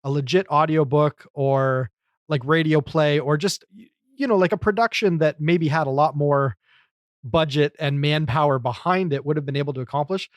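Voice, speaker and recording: Higher-voiced man chatting casually, dry close sound. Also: moderate pace, dry recording. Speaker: podcast guest commentator. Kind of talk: casual podcast discussion.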